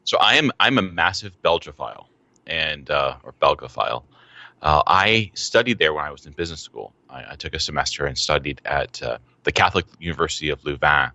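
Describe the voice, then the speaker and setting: A man's voice: rumbling low, lively intonation, fairly quick, gravelly, pushed and bright, dry recording. A young man guesting on a podcast, telling stories with enthusiasm and a few ums. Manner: enthusiastic storyteller, some ums. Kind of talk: casual podcast conversation.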